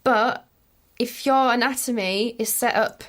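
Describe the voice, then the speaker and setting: A woman vocal coach explaining singing in a relaxed podcast conversation, patient and full of examples. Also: a little high, a little slow.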